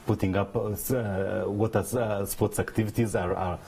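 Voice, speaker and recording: Deep-voiced man, earnest and measured. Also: fairly even intonation, vocal fry, a little mellow, husky. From Uganda. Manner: measured, earnest, explanatory, with restarts. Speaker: interview guest, business leader. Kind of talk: studio interview.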